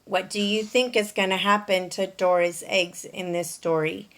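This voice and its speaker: A woman's voice: medium pitch, fairly even intonation, normal speed. A woman reading a picture book aloud for young children, explaining things in an instructive way as a storyteller. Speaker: storyteller, teacher.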